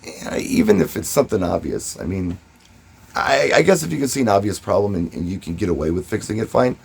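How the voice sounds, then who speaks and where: A man with a fairly low, noticeably husky voice talks in a casual vlog style. He shares advice and anecdotes conversationally, leaning on key words and pausing briefly for effect. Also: expressive, a little mellow. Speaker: DJ and vlogger. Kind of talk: casual first-person advice and storytelling to camera.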